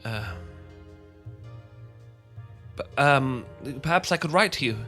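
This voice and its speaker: A man with an English accent playing a charming, shy young gentleman vampire in an ASMR romance roleplay, polite and old-fashioned, often stumbling over his words. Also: mid-range voice, lively intonation, slow pace, soft background music. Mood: shy, courteous. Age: young adult.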